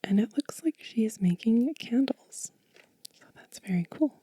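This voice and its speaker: A woman hosting a soft-spoken ASMR show-and-tell, whispering gently and breathily at an unhurried pace. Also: on the high side, lively intonation, slightly rough. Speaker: ASMR channel host.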